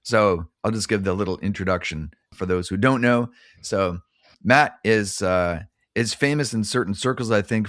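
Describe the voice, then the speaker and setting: A middle-aged man with a fairly low voice hosts a casual podcast. He is jokey and self-deprecating as he talks. The sound is dry, without any room reflections. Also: a bit bright, dry recording. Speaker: podcast host. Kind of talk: casual chat.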